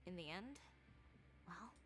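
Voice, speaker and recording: A woman playing a composed, proud young fashion designer in a video game, measured and poised. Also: on the low side, animated, fairly bright tone, a little hiss or hum. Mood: reflective, proud. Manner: measured, poised, matter-of-fact.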